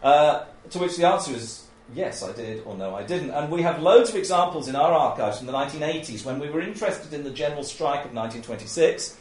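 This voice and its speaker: Man, loud voice, workshop trainer, chatty, laughs a lot, acts out quotes, middle pitch, echoey room. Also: lively intonation, fast, few pauses. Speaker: oral history trainer. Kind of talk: informal workshop talk.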